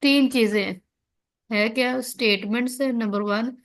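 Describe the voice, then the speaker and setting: A woman's voice: a little high, fairly even intonation, unhurried. A woman with a Pakistani accent giving an explanatory lesson as a lecturer, often checking understanding and answering her own questions. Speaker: law lecturer. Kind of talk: explanatory online lesson, reading out and explaining legal text.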